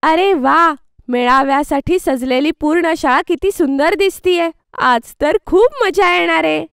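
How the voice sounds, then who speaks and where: A woman voicing an eager young schoolgirl in a children's cartoon story, excited and urging. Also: extremely high pitch, unhurried, vocal fry, dry recording, clean studio sound, exaggerated voice.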